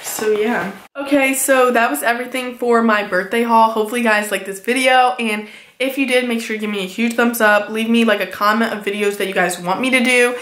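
A woman in her late teens talking casually in a haul vlog, filling her speech with "like" and sounding a little hoarse from a cold. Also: fairly high pitch, steady tone, brisk, fairly bright tone, roomy sound. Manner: chatty, many "like" fillers, upbeat about purchases. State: recovering from a cold, somewhat hoarse. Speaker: vlogger.

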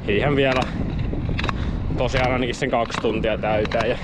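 A young Finnish man talking casually to the camera in a vlog, relaxed and rambling with many fillers. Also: medium pitch. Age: young adult. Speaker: vlogger and roller skier. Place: in a car, outdoors on a road, indoors at home. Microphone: handheld or dash camera. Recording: dull lo-fi sound with background noise.

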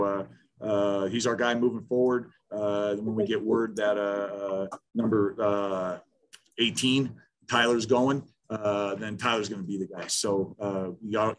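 A man's voice: lowish voice, slight background noise. A man in his fifties, a head football coach at a post-game press conference, talking bluntly with many restarts over a video call. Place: small room in front of a sponsor backdrop.

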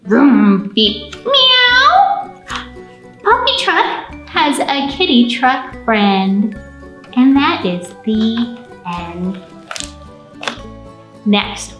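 Female storytime presenter for preschoolers, 30s. Fairly high voice, playful simple phrasing, roomy acoustic. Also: fairly bright tone. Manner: simple, playful encouragement addressed to young children. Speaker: children's library storytime host. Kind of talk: storytime reading and instruction for small children. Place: medium-large indoor library room with painted mural. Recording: roomy indoor echo.

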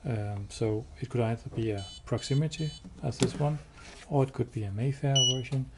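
A man giving a hands-on product demo indoors, explaining step by step in a halting, matter-of-fact way. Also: mid-range voice. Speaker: product demonstrator. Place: indoors, showroom with wall-mounted devices. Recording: clear background noise.

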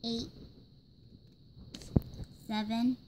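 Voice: medium pitch, level tone, very slow, darkish tone. A young-sounding voice giving short casual prompts during an improvised puppet game, recorded on a phone indoors. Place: carpeted bedroom indoors. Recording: very noisy handheld home phone recording.

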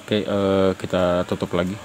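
A man with a fairly low voice talks informally as he demonstrates something by hand. His delivery is halting, with pauses mid-phrase. A faint noise sits behind him in the recording. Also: monotone, a little mellow. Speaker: host of a DIY audio channel. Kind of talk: casual hands-on demonstration.

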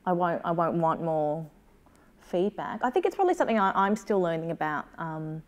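A woman's voice: on the low side, lively intonation, brisk. An Australian woman in her thirties speaking thoughtfully and hesitantly as a playwright in a casual interview. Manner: hesitant, thoughtful, self-deprecating. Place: small room, seated indoors.

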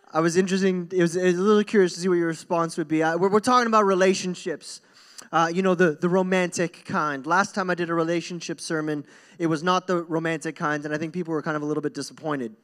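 High-voiced young male pastor preaching. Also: fairly even intonation, fast, a bit bright. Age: young adult. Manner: earnest, informal, repeats phrases for emphasis. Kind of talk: conversational sermon to a congregation. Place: stage in a church hall. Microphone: handheld microphone.

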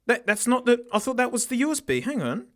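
A hoarse man, excitably reviewing a gadget in casual, exclamatory commentary. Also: very high voice, fast talker. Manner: excitable, exclamatory, self-mocking.

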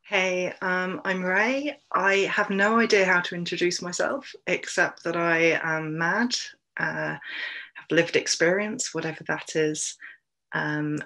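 A woman's voice: on the low side, fairly even intonation, takes it slowly, sharp tone. A woman, a trainer, introducing herself frankly and self-deprecatingly on a video call. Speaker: open dialogue practitioner and trainer.

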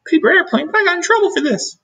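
A man's voice: very high-pitched, pushed and bright, pitched above the performer's own voice, full character voice. A man voicing a stern female monster schoolteacher in a storybook, commanding and theatrical, drawing out words. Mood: delighted. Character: stern monster schoolteacher, adult woman, stomping and roaring, softening.

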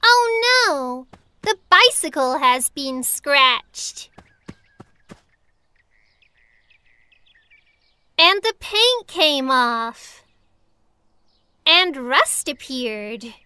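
A woman voicing a cheerful, helpful little girl in a children's cartoon, speaking in a clear, teacherly way. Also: high voice, big pitch swings, pushed and bright, dry recording, heavily acted. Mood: dismayed. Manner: slow, clear, teacherly enunciation.

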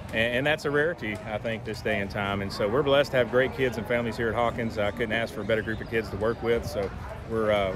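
A man in his forties with a Texan accent, giving casual interview answers as an agriculture teacher. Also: fairly low pitch. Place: outdoors beside an event tent. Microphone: phone held at arm's length by interviewer. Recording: outdoor ambient noise, vehicles.

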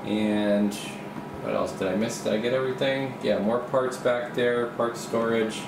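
A man's voice: medium pitch, measured pace, gravelly. A man giving a casual, off-the-cuff workshop tour as a video host, rambling and thinking aloud, speaking from behind the camera. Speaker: video host, radio repair technician. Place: small indoor home workshop. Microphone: camera mic, speaker behind camera. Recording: roomy, steady air-conditioner-like hum.

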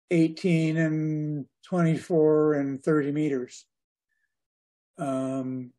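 A man in his seventies giving an informal slide talk to a club over a video call, speaking in a hesitant, measured way with pauses. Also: a little high, mellow. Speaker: guest speaker at a radio club.

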